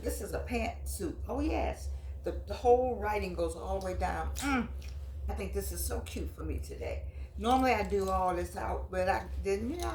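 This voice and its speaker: A woman in her eighties hosting her own vlog, chatting and reminiscing in long, drawn-out phrases. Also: mid-range voice, darkish tone, slight background noise, rough recording. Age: 80s. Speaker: host. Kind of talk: casual vlog monologue.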